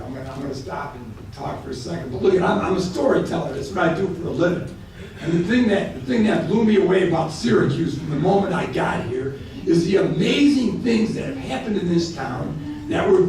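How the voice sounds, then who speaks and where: Gravelly man speaking in an echoing hall. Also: high voice, slight background noise. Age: late 50s. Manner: storytelling, halting with repeated words, growing excited. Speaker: keynote speaker. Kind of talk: speech at a banquet. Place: large hotel banquet hall. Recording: echoey hall from far off.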